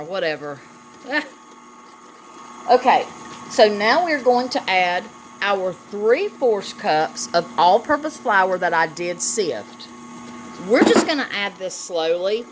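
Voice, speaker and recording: A woman giving a casual cooking demonstration, chatty and affectionate as she talks directly to viewers. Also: mid-range voice. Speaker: home cooking vlog host. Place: home kitchen, indoors. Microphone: phone camera on the counter. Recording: noisy home kitchen with clattering dishes.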